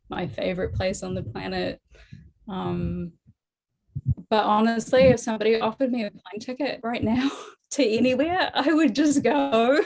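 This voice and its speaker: A woman's voice: medium pitch, background noise. A woman in her forties with a New Zealand accent, talking casually as an interview guest over a remote call. She is chatty and easygoing, with plenty of fillers and frequent laughs, in a mid-range voice. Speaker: guest artist.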